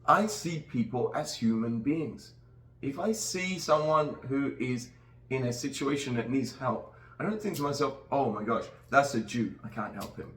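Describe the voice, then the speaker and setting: A man's voice: mid-range voice, normal speed, fairly bright tone. Earnest man in a roomy, distant recording. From Nigeria. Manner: earnest, rambling with many restarts. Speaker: podcast host. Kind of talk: casual podcast conversation. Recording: roomy sound, distant from the mic.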